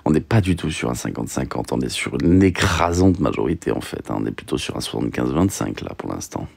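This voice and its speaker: A young man chatting casually on a livestream, reading out questions and answering in a halting way, repeating himself with lots of fillers. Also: very deep voice, animated, creaky, a little mellow, slightly rough, dry recording. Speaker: streamer reading and answering chat.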